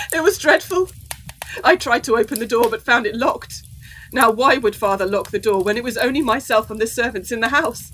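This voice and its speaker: An actress playing an anxious, refined young Victorian gentlewoman in a gothic audio drama, with dramatic delivery. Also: sits high. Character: distraught, well-bred young Victorian gentlewoman, devoted daughter.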